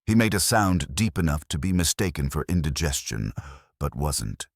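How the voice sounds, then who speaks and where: A man narrating a scripted first-person detective story as an audiobook, with wry, deadpan phrasing. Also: a bass voice, normal speed, gravelly, no echo, clean studio sound.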